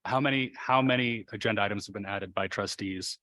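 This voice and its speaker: A man leading a school board meeting, speaking in a measured, questioning way with many fillers. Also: fairly low pitch, pushed and bright, no echo. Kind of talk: formal meeting discussion.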